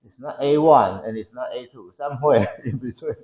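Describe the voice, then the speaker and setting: Halting male lecturer with a Taiwanese accent. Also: medium pitch, phone-quality sound. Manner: halting, repeats phrases as he explains. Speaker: university lecturer. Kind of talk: classroom engineering lecture. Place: indoor classroom.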